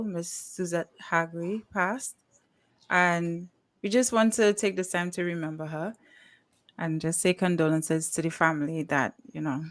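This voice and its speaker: A woman with a Caribbean accent hosting a casual livestream talk show, chatty, with frequent "um"s and laughs. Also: lowish voice. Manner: chatty, laughs often, uses fillers like "um" and "you know". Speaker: podcast host.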